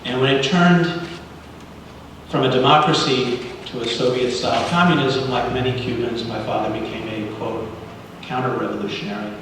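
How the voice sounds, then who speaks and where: A man's voice: medium pitch, fairly even intonation, moderate pace. Echoey hall, earnest man addressing a congregation. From Cuba. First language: Spanish. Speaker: guest speaker leading a congregational service. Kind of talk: sermon-like public address with readings. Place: large reverberant indoor hall, speaking to a congregation. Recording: very echoey hall, distant mic, light noise.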